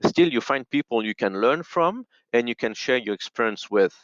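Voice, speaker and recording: A man who is a non-native English speaker, answering conversationally as a guest in halting, restarted phrases. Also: mid-range voice, expressive, dry recording. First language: not English, non-native speaker. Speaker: audio and radio expert, podcast guest. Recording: dull, lo-fi call audio.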